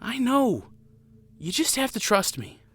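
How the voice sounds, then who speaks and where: A man's voice: extremely high pitch, very animated, unhurried, a bit bright, full character voice. An actor playing an earnest, unsure young male guard recruit in a fantasy audio drama, with a hesitant, sincere, boyish manner. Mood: earnest, caring. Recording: music under the voice.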